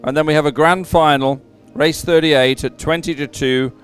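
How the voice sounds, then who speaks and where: A man giving live sports commentary in a chatty, somewhat halting announcing style. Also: on the high side, fairly even intonation, smooth, soft background music.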